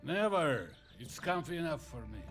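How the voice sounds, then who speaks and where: A man's voice: a little high, big pitch swings, moderate pace. An actor playing a protective, affectionate older Russian father with a Russian accent in a video game. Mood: wistful, warm. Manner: gentle, teasing, fatherly. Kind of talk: dramatic video game acting. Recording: music behind the voice.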